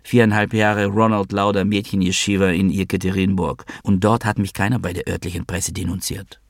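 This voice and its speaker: A man voicing a worn-out, wry and amorous man with a brittle, hushed voice. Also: low-pitched, little pitch movement, fairly quick, vocal fry, no echo, clean studio sound.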